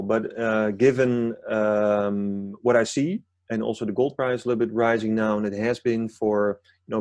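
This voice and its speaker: A man's voice: lowish voice, a little mellow, dry recording. A man from the Netherlands with a Dutch accent, speaking English as a second language as a guest analyst, explaining while searching for words. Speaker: gold market analyst guest. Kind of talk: interview conversation.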